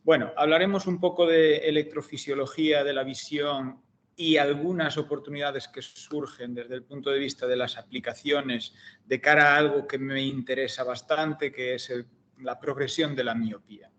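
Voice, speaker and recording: A middle-aged Galician man with a mid-range voice lectures over a video call from his computer microphone. His Galician Spanish accent colors a measured, academic delivery. He pauses often on fillers and restarts his phrases as he goes. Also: medium pitch, steady tone, fairly bright tone, slight background noise. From Galicia, Spain. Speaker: guest lecturer, optometry researcher. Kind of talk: academic seminar talk.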